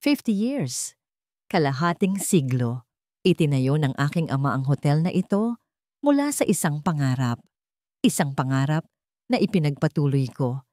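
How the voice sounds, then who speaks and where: A woman's voice: low-pitched, sing-song, moderate pace, long pauses, dark tone, dry recording, clean studio sound. A woman voicing a wealthy, confident cheating husband who talks smugly, as if giving a speech. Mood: confident, proud. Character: smug, scheming cheating husband, a wealthy hotel heir.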